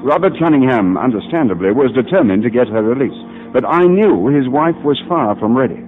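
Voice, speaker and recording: An actor playing a calm, authoritative male psychiatrist in a 1950s radio drama, speaking in a measured, insistent, deliberate way. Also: mid-range voice, phone-quality sound, close to an everyday voice. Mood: grave, urgent. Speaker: actor playing a doctor who also narrates. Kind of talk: 1950s radio drama acting, narration and hypnotic questioning. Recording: band-limited 1950 radio broadcast with music.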